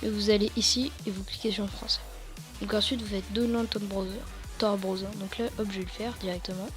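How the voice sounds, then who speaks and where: Hesitant, slightly hoarse teenage girl. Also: medium pitch, little pitch movement, brisk, over music. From France. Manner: rambling, hesitant, many fillers and restarts. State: a bit hoarse. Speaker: tutorial YouTuber. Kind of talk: casual screen-recorded tutorial.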